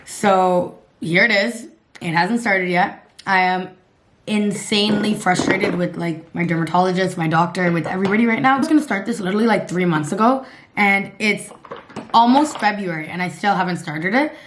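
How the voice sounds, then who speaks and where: A woman in her twenties from Canada with a raspy voice, chatting bluntly and casually to her camera, swearing and laughing at herself. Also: mid-range voice, moderate pace, slight background noise. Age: 20s. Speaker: lifestyle vlogger.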